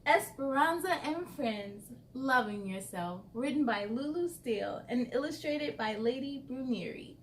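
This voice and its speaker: A woman's voice: high-pitched, animated, unhurried, hardly any pauses, recorded from a distance, slight background noise. A woman hosting a children's read-aloud, speaking enthusiastically and encouragingly straight to young listeners, with chatty asides. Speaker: host of a children's read-aloud story series.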